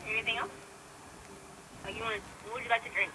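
A woman drive-thru worker takes orders over an intercom. She talks very fast and brightly, with faint noise behind. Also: on the high side, fairly even intonation, rough recording. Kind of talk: customer service order-taking.

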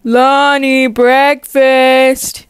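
Woman playing a caring, nagging dad, high clear voice. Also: little pitch movement, drawn-out words, no room sound, clean studio sound. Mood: insistent. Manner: reassuring, parental, mildly insistent. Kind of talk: voiced cartoon game roleplay.

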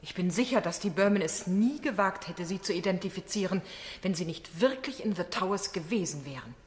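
An actress plays a young woman lawyer in a radio play. Her voice is fairly low, and she probes with determined, businesslike questions. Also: expressive, a little fast, roomy sound, in a large hall, close to an everyday voice. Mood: insistent. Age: young adult. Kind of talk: radio drama dialogue.

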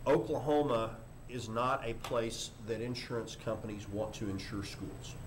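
An older man, a school superintendent, giving a formal report at a board meeting in a halting, measured way with frequent pauses. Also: mid-range voice. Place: indoor board meeting room. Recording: noisy meeting room microphone.

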